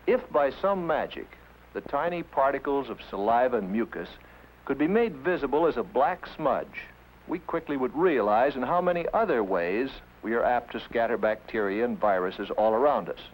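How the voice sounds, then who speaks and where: A man's voice: mid-range voice, animated, normal speed, a little hiss or hum, rough recording. A man delivering scripted 1950s educational film narration in a didactic, emphatic manner, posing rhetorical questions, on an old film soundtrack.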